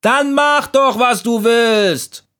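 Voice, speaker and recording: A man's voice: extremely high pitch, slow, fairly bright tone, clean tone, pitched above the performer's own voice, exaggerated voice. A man voicing playful, carefree autumn leaves in a children's story. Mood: dismissive.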